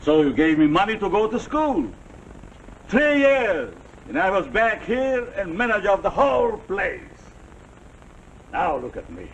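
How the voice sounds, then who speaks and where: An actor playing a domineering, boastful self-made general in a 1940s television suspense drama, with a gloating, halting delivery. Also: male voice, sits high, huge pitch swings, dull audio, exaggerated voice. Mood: boastful, winded. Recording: noisy, humming 1949 television soundtrack.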